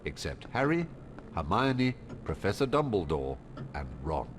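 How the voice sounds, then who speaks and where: A man narrating the game's story in a deliberate, storytelling way. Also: low-pitched, big pitch swings, voice pushed down. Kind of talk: scripted narration. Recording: noisy background.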